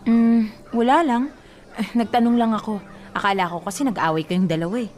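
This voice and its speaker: A woman's voice: medium pitch, steady tone, a little fast, a little mellow, soft background music, lightly acted. Radio drama: actress as a prying neighbor woman, chatty and blunt. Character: nosy, talkative married neighbor woman.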